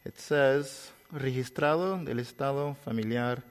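Man, slight Salvadoran accent, sermon, storytelling pauses, long hall reverb. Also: mid-range voice. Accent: slight Salvadoran Spanish. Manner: conversational storytelling, with pauses and repeated words. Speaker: preacher.

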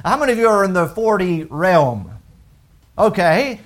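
French-accented guy near forty preaching a sermon, pretty high voice, trips over a word now and then. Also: normal speed. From France. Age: around 40. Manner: animated preaching, frequent stammered restarts.

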